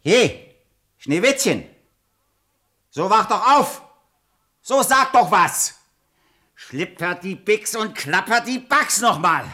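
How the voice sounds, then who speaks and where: An actor playing a cheeky, quick-witted folk hero in a fairy-tale radio play, exclamatory and theatrical. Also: male voice, high voice, expressive, pushed and bright, heavily acted. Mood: urgent incanting.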